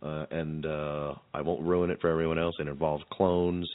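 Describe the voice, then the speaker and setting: Deep-voiced man, halting casual podcast host. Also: level tone, no echo, narrow, tinny audio. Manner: halting, repeats words as he searches for phrasing. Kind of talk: casual chat.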